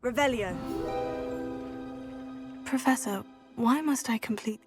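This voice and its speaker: High-voiced, eager schoolgirl witch. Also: takes it slowly, breathy edge, soft background music. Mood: determined. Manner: polite, earnest. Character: polite, eager teenage witch student. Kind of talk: scripted video game dialogue.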